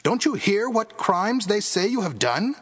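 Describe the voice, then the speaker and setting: An actor playing a questioning Roman governor in a scripture audio drama, with a husky voice and long reverb around it. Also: male voice, high-pitched, sing-song, a little slow, fairly bright tone, heavily acted. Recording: long hall reverb, music underneath.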